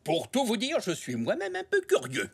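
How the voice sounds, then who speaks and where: A man playing a kindly, curious old grandfather zebra in a French cartoon dub, with expressive, drawn-out delivery. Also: sits high, vocal fry, loud music behind the voice, full character voice. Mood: cheerful, warm.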